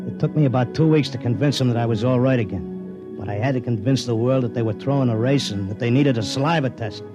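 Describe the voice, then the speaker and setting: A male actor plays a stubborn, blunt American horse tipster from a fifties radio drama. He talks in streetwise slang at an ordinary middle pitch. Prominent music sits behind the old recording. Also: fast talker, natural voice. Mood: weary, frustrated. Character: brash, stubborn racetrack tipster devoted to his wife. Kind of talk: 1950s radio drama acting with slangy narration.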